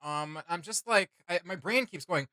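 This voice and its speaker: A man hosting a reaction video, chatting casually in an animated, rambling, slangy way. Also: high-pitched, normal speed, dry recording, clean studio sound.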